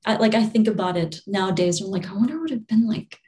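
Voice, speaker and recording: A young woman from Albania speaks as an interview guest, her pitch sitting at an ordinary middle height for a woman. She talks softly and loosely, trailing off, restarting and laughing between thoughts. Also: expressive, fast talker. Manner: soft-spoken, laughs often, many fillers and restarts. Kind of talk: relaxed conversational interview.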